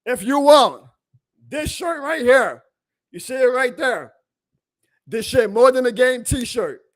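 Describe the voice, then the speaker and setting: A man hosting a live sports reaction stream, excitable and emphatic, his voice going hoarse. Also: very high-pitched, slow pace, no echo. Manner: excitable, emphatic, plugs merch, reads chat aloud. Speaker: sports fan livestream host.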